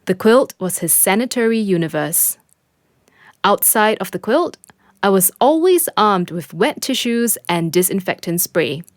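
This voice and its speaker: Female podcast reader, mid-range pitch, scripted first-person narration, very dry close sound. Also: expressive, measured pace, dry recording.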